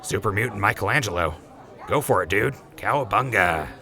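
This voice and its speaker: A man's voice: on the low side, lively intonation, brisk, sharp tone, a faint crowd. A man playing an easygoing young rancher who jokes with his crew, in a fan audio drama. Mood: laid-back. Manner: laid-back, joking.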